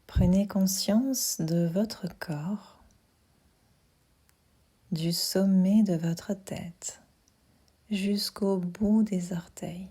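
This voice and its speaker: A woman leads a guided relaxation for sleep in a mellow, hushed voice that often falls to a near whisper. She speaks very slowly, giving gentle instructions with space between them. Her tone is breathy and soothing throughout. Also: medium pitch. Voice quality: soft, breathy, half-whispered. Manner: calm, soothing, unhurried instructions with pauses. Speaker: yoga nidra guide.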